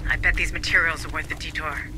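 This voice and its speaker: A man's voice: a little high, little pitch movement, quick pace, lightly acted. A man playing a serious tech officer in a video game, briefing marines in a matter-of-fact way. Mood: focused, helpful. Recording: faint background noise.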